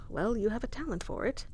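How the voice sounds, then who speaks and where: A woman's voice: on the high side, expressive, moderate pace, noisy background, close to an everyday voice. A woman playing a proud, protective warrior queen in an intimate fantasy audio roleplay, speaking with formal, wry, commanding diction. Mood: teasing, pained.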